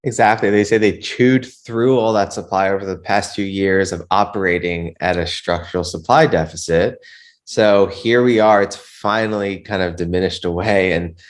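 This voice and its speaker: A man's voice: low voice, fairly even intonation, normal speed. A man hosting a casual interview about investing, chatting informally with his guest.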